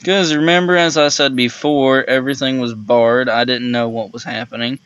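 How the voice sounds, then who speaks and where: Male co-host, even delivery, smooth voice, improvised storytelling, slightly dull lo-fi recording. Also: mid-range voice, normal speed.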